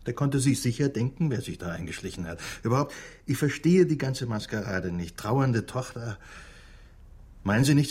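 A man's voice: lowish voice, a little mellow, slightly rough. An actor playing a sceptical man who questions dryly and probingly in a radio drama.

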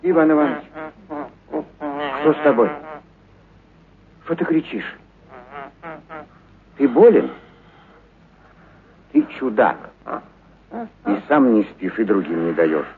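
A man's voice: mid-range voice, animated, slow, long pauses. An actor playing a kindly, eccentric animal trainer in a radio play, talking theatrically and fondly to his pets. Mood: puzzled, worried. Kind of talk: radio play acting of a short story. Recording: narrow muffled radio sound, noisy background.

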